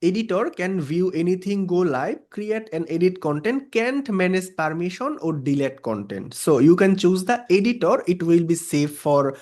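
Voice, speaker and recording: A man with a somewhat high voice and a Bangladeshi accent, pitching a service over a video call. Bengali is his first language, and he speaks English hesitantly, with frequent pauses and fillers. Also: animated, a little mellow. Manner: hesitant non-native English with many fillers. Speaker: freelance service provider. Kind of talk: client meeting on video call.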